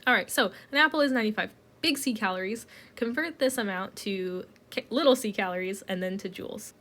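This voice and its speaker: A woman's voice: medium pitch, brisk. A woman teaching a recorded chemistry lecture while getting over a cold, talking through each step with plenty of ums. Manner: relaxed, explains step by step with many ums. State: recovering from a cold.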